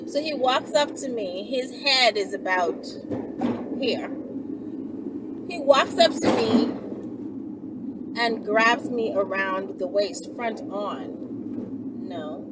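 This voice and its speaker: A woman vlogging in a casual, chatty storytelling style, blunt and slangy, with animated asides. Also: medium pitch, slow, long pauses, very noisy. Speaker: vlogger and channel host.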